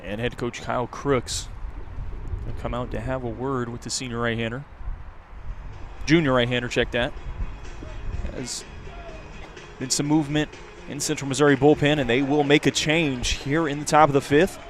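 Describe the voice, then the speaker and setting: A man's voice: medium pitch, fairly even intonation, normal speed, pauses often, soft background music. A man giving live play-by-play commentary as a baseball radio broadcaster. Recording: clear background noise. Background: outdoor ballpark ambience.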